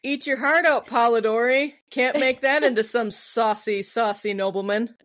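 A woman's voice: a little high, moderate pace, a bit bright, no echo. A woman with a Midwestern American accent, co-hosting a podcast with casual, comedic storytelling in a giggly, playful manner. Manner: giggly, playful, acts out quotes. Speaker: podcast co-host.

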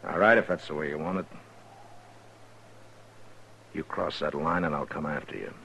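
An actor playing a stern, steady American frontier lawman in a 1950s radio western, with a husky voice. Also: rumbling low, moderate pace, slight background noise, heavily acted. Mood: stern warning.

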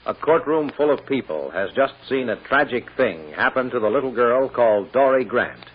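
A man's voice: mid-range voice, lively intonation, normal speed, breathy edge. A man as a grave narrator of 1940s radio drama, solemn and emphatic. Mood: grave intensity. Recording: muffled hissy old radio recording.

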